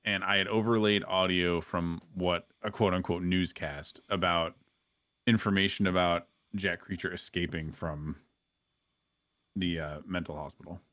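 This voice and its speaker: A man's voice: low voice, fairly even intonation, measured pace, a bit bright, dry recording. A man chatting casually as a guest on a gaming podcast. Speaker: podcast guest and game streamer.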